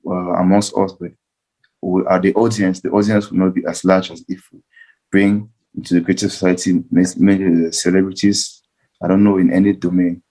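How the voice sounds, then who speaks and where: A man's voice: low-pitched, little pitch movement, moderate pace, warm tone, rough recording. A man giving earnest, unscripted remarks in a discussion, often rephrasing as he goes. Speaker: discussion participant.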